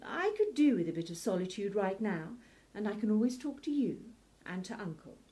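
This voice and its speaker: A woman's voice: medium pitch, very animated, a little fast, dark tone, lightly acted. A woman playing a polite, self-assured young lady in a period radio play. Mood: demure, assured. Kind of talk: audio drama acting.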